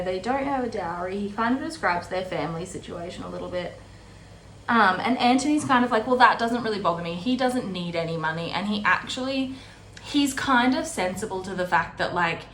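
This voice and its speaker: A woman's voice: medium pitch, a bit bright. A woman with a mid-range voice gives a casual, chatty book recap. She talks informally, slipping into conversational paraphrases of what characters say. The room is echoey, and there is faint noise behind her. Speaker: host of a book readalong. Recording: roomy echo with faint background noise.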